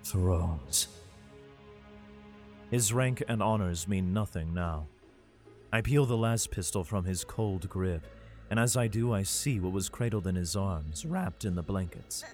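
A man playing a weary father who narrates a science fiction audio drama in the first person, grave and measured. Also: low-pitched, lively intonation, darkish tone. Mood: hushed, somber. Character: weary father fleeing with his son through a besieged hive city. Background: music.

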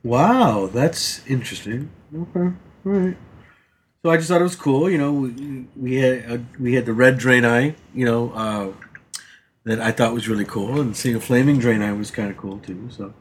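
A man's voice: mid-range voice, moderate pace, a little hiss or hum. A man co-hosting a casual gaming podcast, rambling through his week with many fillers and restarts and teasing the others. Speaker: podcast co-host.

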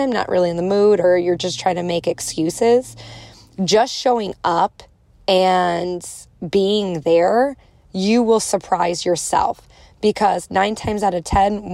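Chicago woman with a gravelly edge. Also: mid-range voice, a little mellow, no room sound. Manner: casual, encouraging, acts out inner voices. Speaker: podcast host. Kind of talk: solo motivational podcast talk.

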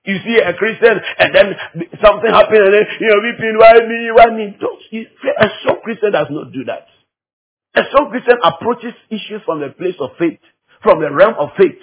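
A man's voice: sits high, normal speed, slightly rough, rough recording. A man from Ghana with a Ghanaian accent preaching a teaching sermon, emphatic and repeating phrases to drive them home.

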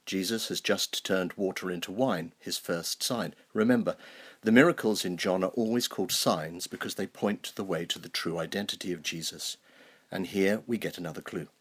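A man, a clergyman, delivering a scripted sermon and scripture reading in a measured, explanatory manner. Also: sits low, breathy edge. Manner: measured, explanatory, rhetorical questions. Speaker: clergyman, Anglican canon.

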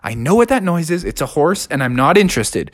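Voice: medium pitch, fairly quick. A storyteller voicing an eager young male bear cub who is easily distracted and pleads when things go wrong. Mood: pleading. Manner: excitable, whiny pleading.

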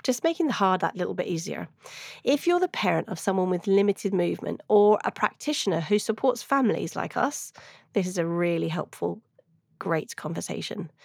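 A woman's voice: lowish voice, expressive, normal speed, gravelly, a little mellow, breathy edge, no echo, studio quality. A woman hosting a podcast, introducing the show and her guests with enthusiasm. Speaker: podcast host.

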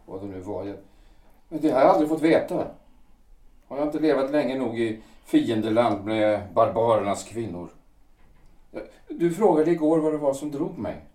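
An actor playing a lovelorn, brooding man who speaks in hesitant, halting, earnest phrases, heard from a distance in an echoey room. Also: medium pitch, expressive, warm tone, lightly acted. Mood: longing. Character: brooding, lovelorn middle-aged male wanderer.

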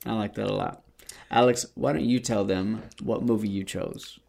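A man's voice: on the low side, fairly even intonation, normal speed, gravelly, darkish tone. A man hosting a podcast in casual, conversational talk. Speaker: podcast host. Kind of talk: casual chat.

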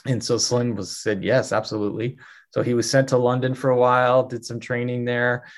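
A man's voice: mid-range voice, level tone, fairly quick. A man answering interview questions at length, telling a life story fluently with many fillers. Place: New York.

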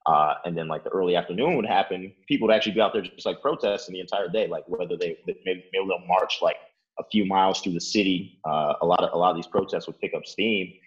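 Young guy from chicago, real low voice, says like a lot, kinda muffled recording. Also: fast. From Chicago, Illinois. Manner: rambling, many fillers like "like" and "uh", restarts. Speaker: interviewee. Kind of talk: casual interview, storytelling. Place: his apartment.

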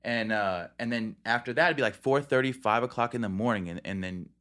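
A man's voice: mid-range voice, fairly quick, a bit bright. A man hosting a podcast in casual chat, telling stories in a slangy, emphatic way.

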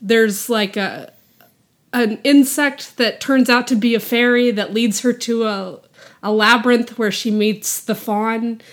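A woman with a fairly high voice and an unhurried, drawn-out delivery, co-hosting a movie podcast on a podcast microphone. She rambles through her points, laughing as she goes. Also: fairly even intonation. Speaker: podcast co-host. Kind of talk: casual chat.

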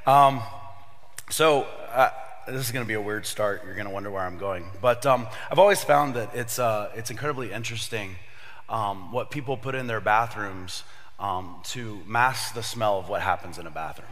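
A man in his thirties, a pastor with a slightly raspy voice from a cold, giving a casual, joking sermon to young adults. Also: on the low side, moderate pace, a bit bright, in a large hall. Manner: chatty storyteller, jokey asides, many fillers.

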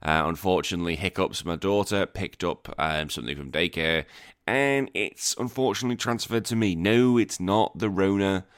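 A man in his thirties from England, hosting a podcast in a rambling, self-deprecating chat, sounding croaky from a cold. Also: sits low, normal speed, fairly bright tone, no echo, studio quality. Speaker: podcast host. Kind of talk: casual chat.

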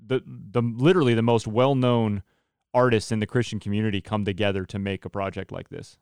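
A man's voice: lowish voice, steady tone, brisk, a little mellow, smooth, dry recording. A man hosting a casual interview podcast, chatting in a self-deprecating, joking way. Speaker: podcast host; church worship leader.

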